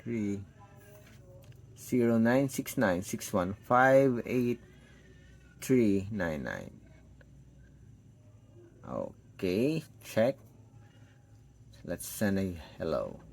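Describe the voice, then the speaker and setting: A man's voice: fairly low pitch, creaky. A man giving an unscripted, step-by-step hands-on product demo, thinking aloud as he tests. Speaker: tech product reviewer.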